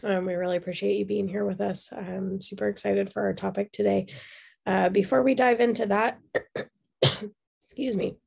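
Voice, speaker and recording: A woman hosting a webinar over a video call, her voice fading and hoarse. There's a gravelly fry under her words, and she fills gaps with casual ums. She sits at a mid pitch and talks through a call microphone. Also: steady tone, normal speed, a little mellow. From Seattle. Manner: casual, many filler ums. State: losing her voice. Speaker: webinar host. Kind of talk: webinar hosting, housekeeping announcements.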